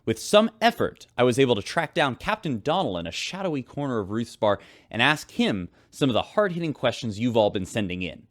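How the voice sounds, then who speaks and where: A man's voice: mid-range voice, very animated, a little fast, few pauses, lightly acted. An actor playing a spaceship radio host, announcing shipboard news like a showman in a sci-fi drama. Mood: dramatic reporting.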